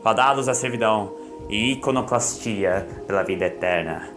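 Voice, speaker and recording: A man voicing an imperious, defiant male god of dreams in solemn, archaic declamation for a dramatic audiobook, with loud music behind him. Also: on the low side, normal speed, natural voice. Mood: cold, disdainful.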